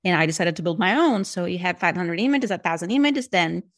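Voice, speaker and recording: A woman's voice: fairly low pitch, fast talker. A middle-aged woman from Brazil, a Portuguese native speaker with a Brazilian Portuguese accent, telling her career story as a guest in a casual podcast interview. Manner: chatty, animated storyteller. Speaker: guest, speech-language pathologist and app developer.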